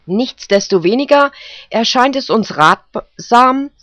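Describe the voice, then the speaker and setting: A narrator voicing a male fox jury foreman who reads out a formal, deliberate verdict. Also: mid-range voice, lively intonation, pushed and bright, no echo, pitched above the performer's own voice. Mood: solemn. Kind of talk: storybook character voice. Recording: dull, dry recording.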